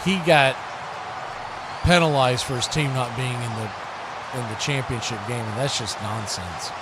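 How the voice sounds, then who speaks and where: An American man doing live football play-by-play commentary, conversational between snaps and animated on big plays. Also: mid-range voice, normal speed, very noisy. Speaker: play-by-play sportscaster.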